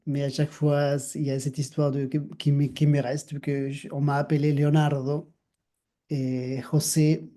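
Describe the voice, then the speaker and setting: Argentine-accented man, very dark tone. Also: a little high, level tone, moderate pace. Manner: thoughtful, many restarts, laughs softly. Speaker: guest, dancer and actor. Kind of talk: reflective interview conversation.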